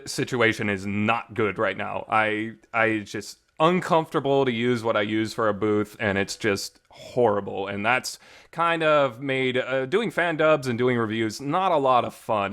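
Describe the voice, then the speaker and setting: A man's voice: medium pitch, fairly bright tone, smooth. A man hosting a casual, rambling review, enthusiastic and emphatic, often restarting his sentences, in a reverberant homemade recording. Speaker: host reviewing visual novels.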